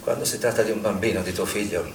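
A man's voice: lowish voice, steady tone, fast, natural voice. An actor playing an anxious, accusing father who is protective of his children, in an insistent stage-drama style. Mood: uneasy, confiding. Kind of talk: stage theatre acting. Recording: echoey room, distant mic, background noise.

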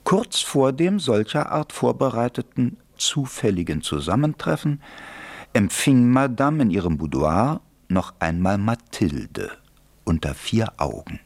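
An actor narrating a radio play as a wry, urbane storyteller with ironic, literary phrasing. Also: male voice, fairly low pitch, sing-song, unhurried, darkish tone, heavily acted. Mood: wry, measured. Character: wry, urbane storyteller of an old French tale.

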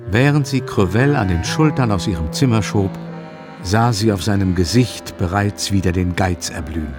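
A male narrator reads literary prose for a radio play. His voice sits low, and music plays clearly behind him as he tells the story. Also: normal speed.

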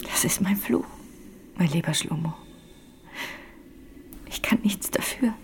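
An actress playing a melancholy centuries-old castle ghost in a radio drama, speaking in formal, archaic phrases with a breathy, often hushed voice. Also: female voice, on the low side, takes it slowly, whispered. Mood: anguished. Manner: formal, archaic, solemn diction.

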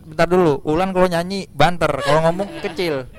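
A man's voice: a little high, a little fast. A man hosting a casual radio interview, speaking colloquial Indonesian with a Javanese accent from East Java and coaxing his guests to talk. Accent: Javanese-influenced Indonesian, East Java. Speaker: radio show host.

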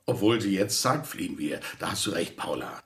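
A theater director giving notes, animated voice, instructing. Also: male voice, low voice, a little fast.

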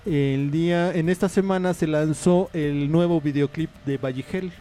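A man hosts a loose radio chat, wandering from one aside to the next and leaning on fillers. His voice sits a little high, with a mellow, dark tone. Faint music plays under the talk in the radio studio. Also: steady tone, moderate pace. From Mexico. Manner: rambling, chatty, many fillers and asides. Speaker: radio host. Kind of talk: casual on-air chat.

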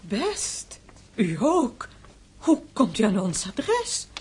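A woman's voice: fairly high pitch, wildly swooping pitch, very slow, darkish tone, husky, heavily acted. A woman playing an irritable, long-suffering wife who complains fretfully in a radio drama. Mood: curt.

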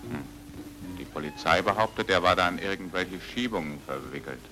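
An actor playing a stubborn foreign pulp novelist turned investigator in a radio drama, speaking in a direct, terse, insistent way. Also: male voice, sits low, moderate pace, music in the background, close to an everyday voice. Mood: doubtful.

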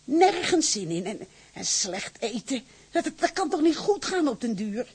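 A woman's voice: sits high, big pitch swings, normal speed, darkish tone, breathy edge, exaggerated voice. An actress playing a middle-aged widowed mother in a radio drama, garrulous, fussy and deferential, hesitating as she chatters. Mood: chattering, anxious. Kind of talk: Dutch radio drama acting.

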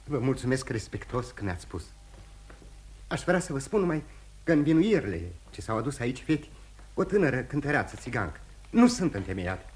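An actor playing a remorseful, courteous, dissolute gentleman husband in theatrical radio drama style, candid and halting with repetitions. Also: mid-range voice, sing-song, breathy edge. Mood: courteous, uneasy. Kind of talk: mid-century Romanian radio theatre acting.

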